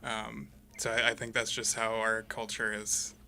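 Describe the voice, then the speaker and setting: A man being interviewed as a musician guest, talking casually and haltingly as he searches for words. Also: on the low side, completely flat delivery, gravelly, pushed and bright. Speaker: musician guest, saxophonist for a radio play. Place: radio studio.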